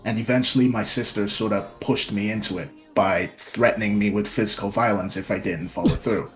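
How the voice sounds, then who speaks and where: A young man with a fairly low voice, from Nigeria, chats softly and with a self-deprecating edge as an interview guest. He speaks through a home streaming mic, with faint music under the stream audio. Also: fairly even intonation, a little fast. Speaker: VTuber guest. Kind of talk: casual interview talk.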